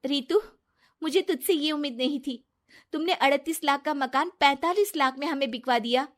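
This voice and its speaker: A woman voicing a naive, soft-hearted young wife, earnest and pleading, in a moral story. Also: sits high, normal speed, voice pushed up, close to an everyday voice. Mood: hurt.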